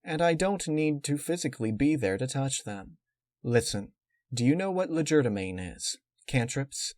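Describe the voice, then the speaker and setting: Calm, calculating villainess unicorn read by a man in a mid-pitched voice, lecturing and matter-of-fact, dry close recording for a dark storybook. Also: moderate pace, no room sound, lightly acted. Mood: calm menace. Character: cold, calculating female unicorn villain, calmly menacing.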